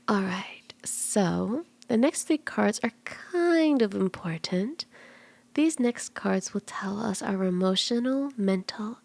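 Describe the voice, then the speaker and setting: A woman voicing a flirty, playful kitsune fortune teller in a soft, teasing, reassuring ASMR roleplay, slipping into breathy whispers in places. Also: mid-range voice. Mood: sweet, flirty. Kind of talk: ASMR roleplay, soft intimate tarot reading.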